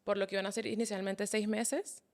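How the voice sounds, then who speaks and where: A woman in her thirties with a Venezuelan accent from Caracas, calmly telling her story as an interview guest. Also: medium pitch, fairly quick. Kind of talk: casual interview chat.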